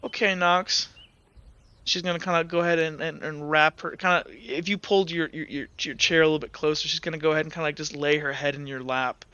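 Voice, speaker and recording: A man's voice: on the high side, steady tone, quick pace, pushed and bright, clean tone. A man narrating a tabletop game as game master, rambling with many fillers and hedges. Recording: dull lo-fi audio.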